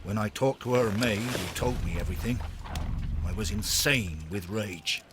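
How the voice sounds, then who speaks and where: A man's voice: fairly low pitch, normal speed, noisy background, close to an everyday voice. A man playing a passionate, defiant, lovelorn Victorian sea captain in a video game, with an impassioned, melodramatic delivery. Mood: anguished confession. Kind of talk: dramatic video game acting. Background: music.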